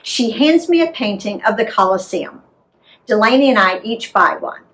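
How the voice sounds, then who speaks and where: An American woman narrating a travel memoir as an author reading aloud, in an expressive, deliberate storytelling manner. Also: mid-range voice, poor audio. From United States.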